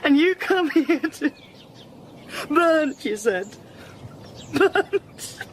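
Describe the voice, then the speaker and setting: A woman acting a sharp, highly strung wife in her thirties in a naturalistic radio drama, expressive and insistent. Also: extremely slow, noisy background, exaggerated voice. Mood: shocked, distraught. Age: 30s.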